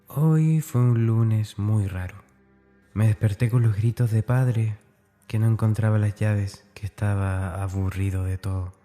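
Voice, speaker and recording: An actor playing a tormented schoolboy with a dark streak, confessing to his diary in a brooding, intimate horror audio drama monologue. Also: lowish voice, natural voice.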